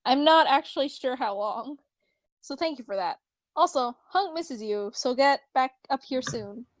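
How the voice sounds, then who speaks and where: A woman's voice: high voice, lively intonation, measured pace, dry recording. A woman playing a chatty, teasing young friend in an amateur fan fiction reading, with a wry, rambling delivery.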